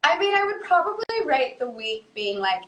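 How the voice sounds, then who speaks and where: A woman in her thirties, who grew up in Indonesia, speaks as a guest psychotherapist on a live video call, thoughtful, with many fillers and soft laughs. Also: sits high, sing-song, moderate pace, slight background noise. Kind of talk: casual live video chat. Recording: roomy echo.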